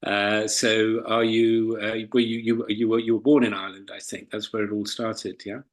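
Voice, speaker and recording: A man hosting a live online interview over a video call, hesitant and often rephrasing himself mid-sentence, with a husky voice. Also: fairly low pitch, flat delivery, normal speed. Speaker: interviewer and host of an online forum.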